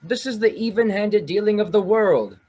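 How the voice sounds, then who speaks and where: A man's voice: high-pitched, normal speed, a little mellow, breathy edge. An actor playing a proud, defensive young Victorian man in a theatrical radio drama.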